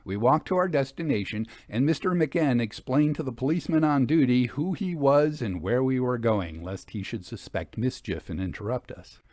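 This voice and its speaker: Expressive male storyteller, close and dry. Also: medium pitch, normal speed, few pauses, no room sound. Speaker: audiobook reader. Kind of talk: scripted narration of a Victorian ghost story.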